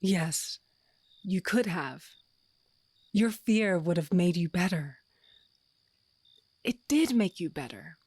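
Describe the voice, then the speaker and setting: A woman's voice: fairly low pitch, expressive, takes it slowly, long pauses, a little mellow, breathy edge, dry recording, full character voice. A woman playing an earnest, frustrated wife and mother in an audio drama, pleading and heartfelt. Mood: tender, encouraging.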